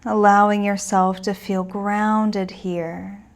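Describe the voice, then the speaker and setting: Slow-paced woman guiding a gentle meditation. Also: mid-range voice, level tone. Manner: soothing, unhurried, reassuring. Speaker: meditation and yoga teacher.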